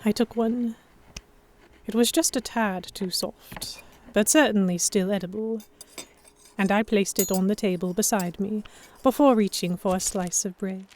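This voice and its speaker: A woman playing an anxious young noblewoman captive, narrating her own story in a measured, introspective way for a historical romance audio drama. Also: medium pitch, steady tone, a little mellow, a little hiss or hum, close to an everyday voice. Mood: guarded.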